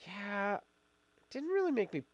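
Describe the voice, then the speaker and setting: A man's voice: high voice, very animated, moderate pace, dry recording, lo-fi sound. A middle-aged man hosting a casual live stream while fighting a cold, rambling with fillers and restarts. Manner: rambling, many fillers and restarts, laughs often. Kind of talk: casual live-stream chat, reading viewer comments.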